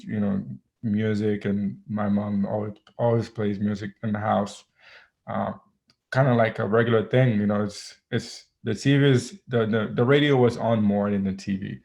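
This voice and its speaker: A man, a guest DJ chatting casually in an interview, relaxed and full of ums and restarts. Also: fairly low pitch, moderate pace, gravelly. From Haiti. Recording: dull lo-fi audio.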